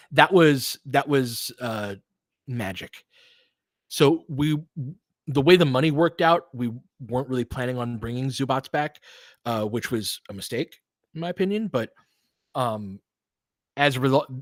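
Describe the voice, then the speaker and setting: A man's voice: mid-range voice, dry recording, clean studio sound. A man doing live basketball commentary as host, conversational and analytical, thinking aloud as he goes.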